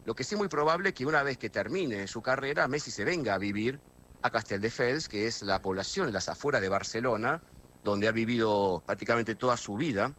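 A man's voice: medium pitch, brisk, fairly bright tone, slight background noise. A middle-aged Argentine man from near Buenos Aires, a guest correspondent on a radio phone interview, talkative and full of hesitations and restarts. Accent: Argentine, Buenos Aires area.

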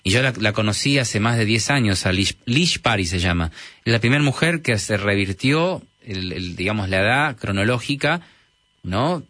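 A man's voice: fairly low pitch, expressive, moderate pace, a bit bright, dry recording. A man from Argentina with a mild Argentine accent, speaking as a technology guest in a conversational interview, hesitant with many fillers and restarts. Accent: Argentine Spanish, mild.

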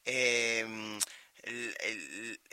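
A man's voice: fairly low pitch, extremely slow, pushed and bright, clear, resonant tone, no echo. A man with an Argentine Spanish accent interviewing a guest, adding short prompts and comments.

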